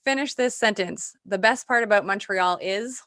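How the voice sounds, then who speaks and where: A woman's voice: medium pitch, moderate pace, fairly bright tone. A woman hosting a casual entertainment interview. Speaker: show host, interviewer.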